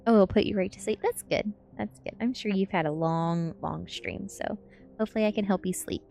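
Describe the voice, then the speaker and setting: A woman's voice: medium pitch, a little fast, gravelly, mellow, faint music behind. A woman streamer reading a book ASMR style and chatting casually with viewers in a playful way. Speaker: VTuber streamer.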